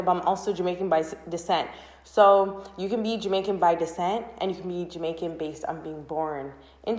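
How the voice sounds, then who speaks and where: Chatty young woman vlogger in an echoey room. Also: mid-range voice. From Canada. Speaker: vlogger and channel host. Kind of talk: casual chatty vlog to camera. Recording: roomy, echoing room sound.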